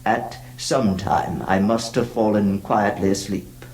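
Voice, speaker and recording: An actor playing a haunted gentleman who narrates a horror radio play, intense, with dramatic pauses. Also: fairly low pitch, fairly even intonation, slight background noise. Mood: uneasy, hushed.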